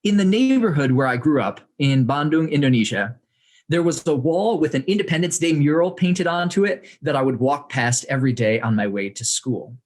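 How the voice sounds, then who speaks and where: A young adult man from the United States, reading aloud from his own book to an audience in a measured way with dry humor. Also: on the high side, expressive. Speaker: author reading and speaking to an audience.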